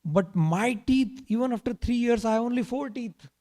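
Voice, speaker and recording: A man's voice: high voice, steady tone, normal speed, mellow, voice pushed up, natural voice. A man voicing a naughty three-year-old boy in a storytelling, whining and protesting in a childlike way. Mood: aggrieved.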